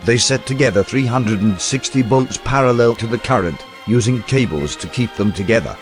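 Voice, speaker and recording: A man reading a historical account aloud in a measured, storytelling documentary narration. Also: medium pitch, soft background music. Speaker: reader of historical text.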